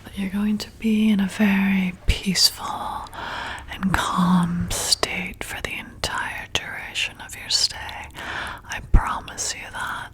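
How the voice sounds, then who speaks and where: A woman's voice: medium pitch, little pitch movement, fairly bright tone. A woman playing a flirty, hypnotic vampire bartender in an ASMR roleplay, soothing and coaxing as she repeats herself. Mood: coaxing, praising. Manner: soothing, coaxing, slow and repetitive.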